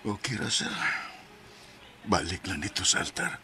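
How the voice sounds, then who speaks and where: A man's voice: low-pitched, lively intonation, measured pace, pushed and bright, soft background music, heavily acted. A man playing a poor, ailing father in a radio drama, humble, subdued and resigned to death. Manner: subdued, deferential.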